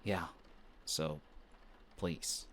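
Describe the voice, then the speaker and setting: A man's voice: deep voice, steady tone, a bit bright, a little hiss or hum, full character voice. A man playing a flustered, defensive young best friend who is secretly smitten, in an intimate romantic audio roleplay. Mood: flustered, pleading. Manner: defensive, bickering, halting when shy.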